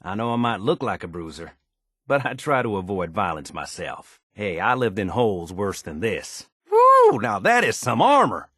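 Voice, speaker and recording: A man's voice: on the low side, wildly swooping pitch, moderate pace, bright, forceful tone, slightly rough, no room sound, studio quality, heavily acted. A man playing a down-to-earth, folksy and modest handyman in a wasteland video game, with easygoing, colloquial phrasing. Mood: modest, wry. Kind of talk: video game character dialogue.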